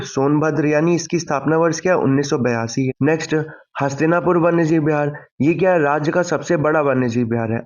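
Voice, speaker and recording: A man lecturing for exam revision, didactically posing questions, answering them and repeating key points. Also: fairly high pitch. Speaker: exam-prep teacher. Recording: dull lo-fi microphone sound.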